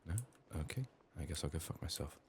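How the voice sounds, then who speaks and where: A soft-spoken man murmuring through an ASMR game playthrough, often dropping into whispers, his voice a little strained from being sick. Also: extremely low pitch, expressive, a little fast. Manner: murmured thinking aloud. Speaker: ASMR gameplay streamer. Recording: faint noise, keyboard typing and clicks. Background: keyboard typing, clicking, faint noise.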